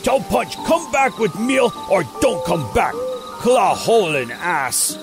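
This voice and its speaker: A man's voice: sits high, expressive, slow pace, vocal fry, over music, exaggerated voice. An actor playing a big, dim, childlike mutant brute who loves cooking and speaks in blunt, broken caveman grammar in an audio drama. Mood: simple, grumbling.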